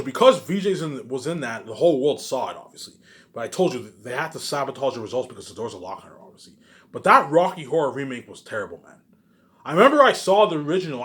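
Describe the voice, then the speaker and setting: A man rants casually and off the cuff, as if to his online followers. His pitch swings widely around an average male register. He hammers on the same phrases with emphasis, then drops away into slangy trailing asides. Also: fairly bright tone. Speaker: solo online vlogger. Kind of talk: casual unscripted monologue rant.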